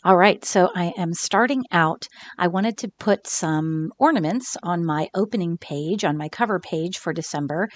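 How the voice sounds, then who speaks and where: Casual craft-channel host, female, a bit scratchy from a cold, relaxed chatty voice-over, lower pitch. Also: moderate pace, few pauses. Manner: chatty and relaxed, with fillers and small laughs. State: slightly scratchy from recent illness.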